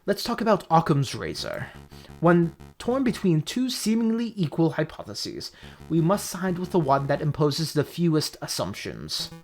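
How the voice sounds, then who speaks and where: A woman voicing an arrogant male falcon defence lawyer in a video game, lecturing and deliberate in a cutting, logical way. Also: deep voice, big pitch swings, a little mellow, faint music behind.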